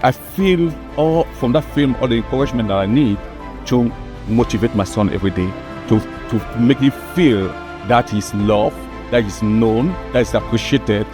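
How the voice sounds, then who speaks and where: A man, a missionary giving a heartfelt testimonial. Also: mid-range voice. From Cameroon. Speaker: missionary and church planter.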